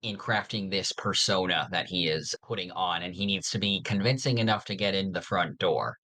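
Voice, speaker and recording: A man's voice: deep voice, normal speed, sharp tone. A man co-hosting a podcast in casual discussion, thoughtfully explaining his reasoning. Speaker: podcast co-host.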